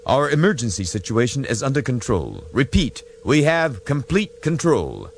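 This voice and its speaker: A grim starship officer under strain, performed by a male reader. He is terse and formal, resolute, with raised, moving pitch over a faintly noisy background in a science fiction audiobook. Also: sing-song, moderate pace. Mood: urgent, relieved. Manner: terse, formal military reporting.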